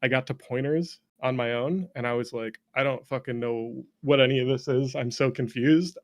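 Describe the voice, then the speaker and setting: A man's voice: mid-range voice, moderate pace. A man around thirty with a North American accent telling stories casually as an interview guest, self-deprecating, swearing freely and laughing easily. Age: late 20s to early 30s. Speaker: interview guest, game developer. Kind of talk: casual conversational storytelling.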